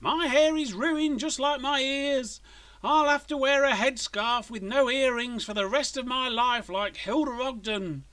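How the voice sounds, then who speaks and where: A man's voice: extremely high pitch, measured pace, pushed and bright, pitched above the performer's own voice, exaggerated voice. A man voicing an exasperated, dramatic middle-aged mum with an emphatic, fussy way of talking in a children's story. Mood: frantic, wailing.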